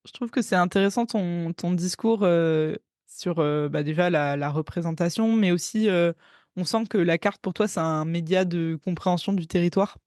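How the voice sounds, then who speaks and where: A podcast host speaks in a smooth, clear voice of middle pitch. The question comes slowly, broken up by fillers. The sound is dry and close. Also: darkish tone, dry recording. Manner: thoughtful, pauses with fillers. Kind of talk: interview questions.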